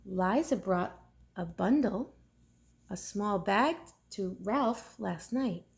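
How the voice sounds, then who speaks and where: A woman reading a storybook aloud like a teacher, expressive and deliberate for young listeners. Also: medium pitch, vocal fry.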